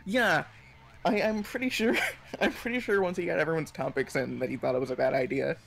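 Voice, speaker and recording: A young man chatting casually on a live stream, telling stories in a rambling, halting way full of filler words. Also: on the high side, creaky, fairly bright tone. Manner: rambling, halting storytelling peppered with 'like'. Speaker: stream co-host.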